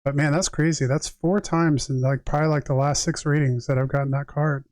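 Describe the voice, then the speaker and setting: A man's voice: a little high, steady tone, fairly quick, a little mellow, dry recording, clean studio sound. A man giving a tarot reading on a livestream, conversational and reflective, moving between reading aloud and chatting directly to viewers. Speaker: tarot reader and live streamer.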